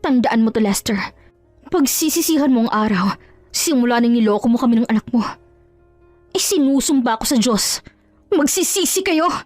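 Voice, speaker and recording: Woman acting a furious betrayed wife, high-pitched. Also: lively intonation, moderate pace, pauses often, a little mellow, dry recording, faint music behind, natural voice. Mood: bitter, furious. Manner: emphatic, accusing, dramatic. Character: betrayed wife, furious, anguished, accusing, confronting cheating husband.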